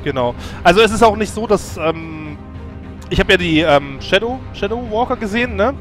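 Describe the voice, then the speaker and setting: A man's voice: high voice, animated, moderate pace, fairly bright tone, faint music behind. A man co-commentating a video game match, analytical and eager about tactics.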